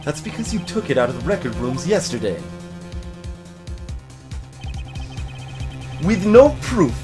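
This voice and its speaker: A man voicing a haughty, menacing older male prosecutor in a video game, with pompous, drawn-out emphasis. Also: a little high, very animated, music in the background. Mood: smug. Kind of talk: video game dub acting.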